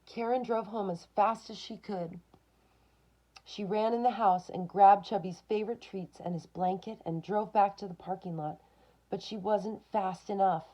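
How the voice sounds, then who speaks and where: A woman's voice: mid-range voice, steady tone, moderate pace, dull audio. A woman reading a picture book aloud to children, expressively, with short asides of her own.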